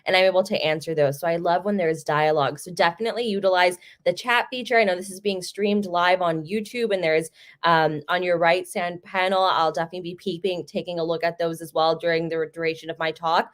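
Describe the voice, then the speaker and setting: Lower-voiced young American woman telling stories. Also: fairly even intonation, a little fast. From Chicago, United States. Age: young adult. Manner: conversational, personal storytelling. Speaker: guest speaker. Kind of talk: storytelling talk.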